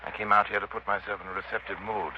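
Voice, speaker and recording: An actor playing a suave, intense gentleman sleuth with mystic leanings in a 1930s radio drama. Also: lowish voice, flat delivery, fast talker, background noise, close to an everyday voice. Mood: intent, hushed. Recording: muffled 1930s radio broadcast.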